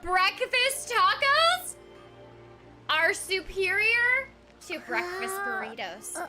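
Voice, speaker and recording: Bubbly, high-voiced woman from Texas. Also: slow, soft background music. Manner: bubbly, giggly, animated. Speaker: voice actor streaming a game. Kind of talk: casual chat with a live stream audience.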